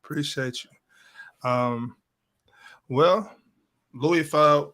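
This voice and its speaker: A man hosting a casual interview, talking in a relaxed, slangy way and often repeating words while he searches for them. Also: fairly high pitch, very slow. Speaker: interviewer and show host.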